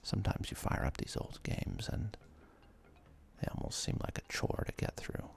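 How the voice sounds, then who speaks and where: A man giving ASMR let's play commentary in a breathy, gravelly whisper, close to the microphone, with relaxed, self-deprecating asides. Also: normal speed. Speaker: host of a gaming ASMR series.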